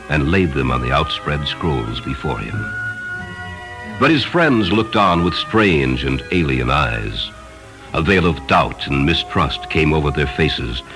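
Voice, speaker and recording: A man narrating a literary story in a solemn, measured, dramatic way. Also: very deep voice. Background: music behind the voice.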